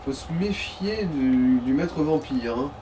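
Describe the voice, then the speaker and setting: A man's voice: mid-range voice, lively intonation, slow pace, mellow. A man giving casual, crude and mocking commentary on a video game, recorded at some distance from the mic with faint noise. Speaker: let's play co-commentator. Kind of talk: casual joking gameplay commentary.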